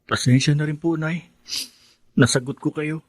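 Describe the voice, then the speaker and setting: An actor playing an earnest, dutiful teenage son from a poor family in a radio drama. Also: male voice, fairly high pitch, fairly even intonation, normal speed, darkish tone, no echo, close to an everyday voice. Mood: hurt, apologetic.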